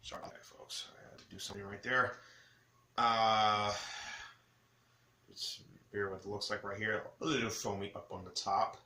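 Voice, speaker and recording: A man hosting a casual, rambling beer review, speaking haltingly and often correcting himself. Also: lowish voice, flat delivery, sharp tone. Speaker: beer review vlogger, host. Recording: roomy echo with clear background noise.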